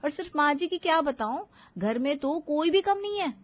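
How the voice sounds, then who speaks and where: Casting: woman playing put-upon elder daughter-in-law, fairly high voice, wry and reproachful, cartoon drama, muffled sound. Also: expressive, normal speed. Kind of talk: animated family story acting.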